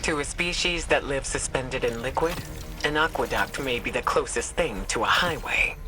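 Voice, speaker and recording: A man's voice: mid-range voice, moderate pace, close to an everyday voice. A man acts a reflective sci-fi companion in a video game. His voice sits mid-range with a dry wit, and music plays behind. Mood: wry, musing. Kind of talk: scripted video game dialogue.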